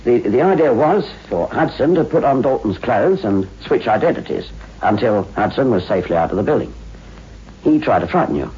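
Casting: London police detective, radio play. Brisk, chatty, amused, very animated intonation. Dull old-radio sound. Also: male voice, medium pitch, breathy edge. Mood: brisk explaining. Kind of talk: 1960s radio drama acting. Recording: muffled, hissy 1960s radio recording.

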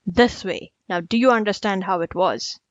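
A woman's voice: medium pitch, normal speed, dry recording, close to an everyday voice. A fierce tiger voiced by a woman from a storybook, blunt and scornful, always hungry and short of patience. The recording is close and dry, a little dull. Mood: exasperated. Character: fierce male tiger, hungry, growling, impatient.

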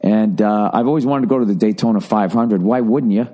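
A middle-aged man riffs through a loose podcast monologue, swearing freely and sounding sardonic. His voice is dark and mellow and sits fairly low. He rambles with plenty of ums and restarts. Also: brisk. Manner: profane, sardonic storytelling with frequent fillers. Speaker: podcast host. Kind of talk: casual solo podcast monologue.

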